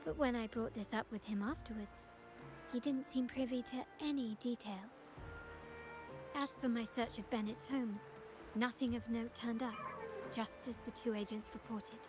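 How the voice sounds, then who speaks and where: A woman playing a composed, shrewd young noblewoman of a crime family in a video game, speaking in a measured, formal way. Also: fairly high pitch, fairly even intonation, over music, lightly acted. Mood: pensive, analytical.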